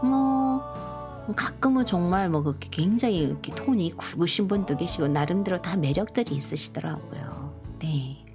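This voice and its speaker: A middle-aged woman from Korea who grew up partly in Busan, chatting slowly and gently to viewers with many pauses and fillers. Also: lowish voice, lively intonation. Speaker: live stream host. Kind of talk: casual live chat to viewers. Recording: soft background music.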